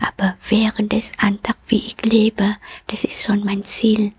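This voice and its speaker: A middle-aged Buddhist nun from Vietnam with a Vietnamese accent, answering interview questions in a soft, gentle, whispery way. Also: female voice, medium pitch, completely flat delivery, a little slow, slightly rough. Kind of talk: calm reflective interview answers.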